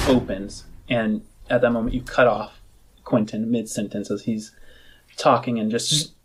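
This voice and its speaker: A man working as game master, improvising descriptive narration for a tabletop roleplaying game with halting phrases and dramatic pauses. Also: mid-range voice, husky, poor audio.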